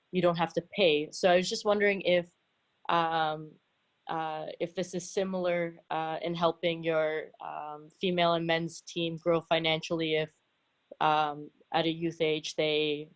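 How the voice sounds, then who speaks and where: A woman with a Canadian accent casually asking a question from the audience. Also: low voice.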